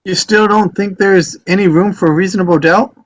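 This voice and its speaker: An earnest, fair-minded juror from a stage drama, played by a man. The voice is high for a man, with a plain-spoken way of putting things. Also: steady tone, normal speed. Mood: questioning. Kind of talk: amateur table read of a stage drama.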